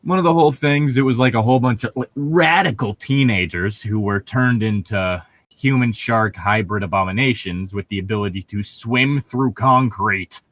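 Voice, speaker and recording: A man's voice: fairly low pitch, moderate pace, a bit bright, no room sound. A man hosting a casual comedy read-along, bantering and reading aloud in a wry, joking, conversational way. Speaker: podcast host reading fan fiction aloud.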